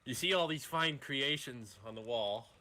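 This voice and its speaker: A man roleplaying an amoral mad doctor in a Western game, explaining his schemes calmly and haltingly. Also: medium pitch. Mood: calmly eager. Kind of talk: improvised online Western roleplay.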